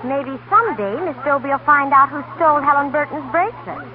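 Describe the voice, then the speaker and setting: A woman's voice: sits high, brisk, close to an everyday voice. An actress playing a bratty, bullying schoolgirl in a 1930s radio drama, sly and needling. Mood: sly, threatening. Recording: tinny, very noisy 1930s radio broadcast.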